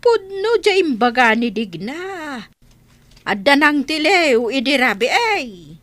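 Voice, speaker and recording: An older Ilocano mother played by a woman with a high, sharp voice. She nags and frets in village radio comedy style, exclaiming with big melodic swings. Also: big pitch swings, slow pace, no echo, heavily acted. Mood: alarmed. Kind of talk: comedy radio drama acting.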